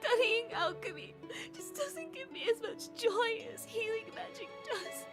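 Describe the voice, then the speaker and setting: A woman's voice: very high-pitched, expressive, unhurried, music in the background. A woman voicing a timid young female alchemy student who stammers and hesitates, in a game. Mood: tearful.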